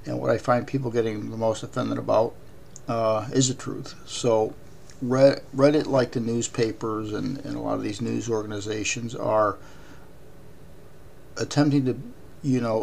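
Raspy gravelly guy hosting his podcast, lots of ums, faint hiss behind. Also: medium pitch, darkish tone. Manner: halting, many ums and uhs. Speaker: podcast host. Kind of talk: casual podcast commentary.

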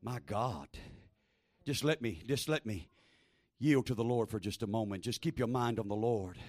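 A man preaching a fervent sermon in a small church, repeating phrases and building to shouted exhortation over a handheld microphone. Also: lowish voice, animated, moderate pace. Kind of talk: Pentecostal-style sermon.

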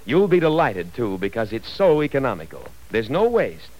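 A man's voice: very animated, moderate pace, a little mellow, rough recording. Cheerful 1940s radio ad announcer, man. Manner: cheerful, persuasive pitch. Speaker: commercial announcer. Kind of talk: 1940s radio commercial read.

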